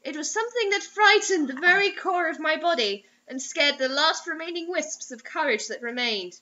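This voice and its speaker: Theatrical young woman, high bright voice. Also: expressive, normal speed. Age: young adult. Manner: theatrical, overwrought. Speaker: co-host reading bad fiction aloud. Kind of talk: dramatic mocking read-aloud with asides. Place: small indoor room. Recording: dull lo-fi webcam sound.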